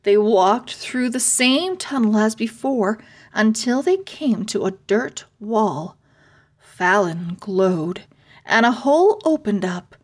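A woman narrating a children's chapter book aloud, with careful, expressive storybook phrasing. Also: mid-range voice, slow pace. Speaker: read-aloud host and narrator.

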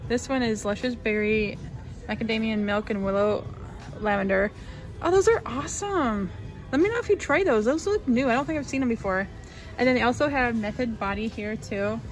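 A young woman talks through a store aisle as she films on her phone, reading out labels and prices in a casual, rambling way. Her voice sits a little high, and faint music plays in the background. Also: moderate pace. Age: young adult. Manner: chatty, reads out labels and prices, lots of fillers. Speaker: shopping vlogger. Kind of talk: casual shop-with-me narration.

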